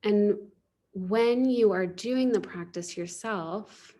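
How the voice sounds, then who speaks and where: A woman teaching yoga nidra, guiding a relaxation meditation in a soothing, unhurried way. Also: mid-range voice, warm tone.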